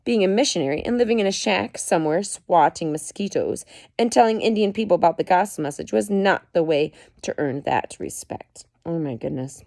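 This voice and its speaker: A woman's voice: fairly low pitch, lively intonation, a little fast, vocal fry, a little mellow, dry recording, clean studio sound. A mother reading a book aloud to her children at home, stopping often to comment and explain. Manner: chatty, stops to comment and explain to listeners.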